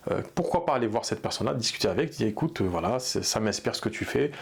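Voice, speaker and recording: A man's voice: medium pitch, animated, quick pace, creaky, slightly rough. A man coaching therapy practitioners in an unscripted talk, speaking earnestly and hesitantly with many fillers and restarts. He also speaks Arabic. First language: bilingual in Arabic. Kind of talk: unscripted advice talk to camera.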